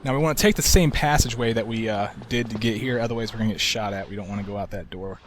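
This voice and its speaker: A man giving casual, relaxed commentary over a video game walkthrough, with a soft, soothing way of talking. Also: fairly low pitch, fast, noisy background. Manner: relaxed, soft-spoken, self-described soothing voice.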